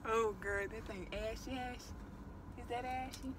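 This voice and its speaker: Young woman vlogging in her car, high pitched voice, playful bickering and slang, bit of noise on the phone mic. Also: moderate pace. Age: young adult. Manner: animated, teasing, slangy. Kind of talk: casual vlog chat with playful bickering. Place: inside a parked car. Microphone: phone camera at arm's length. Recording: noisy phone recording in a car.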